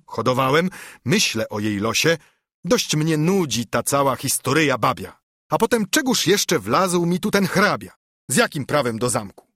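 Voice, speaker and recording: A man's voice: medium pitch, a bit bright, husky, no echo, studio quality. A man voicing a hot-tempered, stubborn country judge in a dramatised verse audiobook, exclaiming and blustering.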